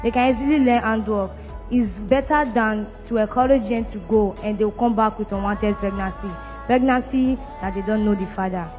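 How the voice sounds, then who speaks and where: A woman's voice: mid-range voice, moderate pace, a little mellow, faint music behind. A woman from Nigeria with a Nigerian accent, giving an earnest personal testimony in a plain way with restarts.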